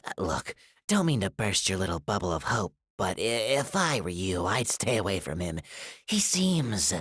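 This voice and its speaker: A woman voicing a wary, soft-hearted male bartender in an animated series, with a hesitant, conversational manner. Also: a bass voice, normal speed, slightly rough, dry recording, studio quality, full character voice. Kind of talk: animated web series voice acting.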